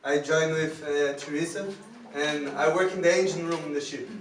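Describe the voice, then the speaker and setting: Guy joking around while greeting a church, slightly high voice, loud noise and echo, far from the mic. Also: fairly even intonation, moderate pace. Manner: joking, laughing often. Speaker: ship crew volunteer. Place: church hall.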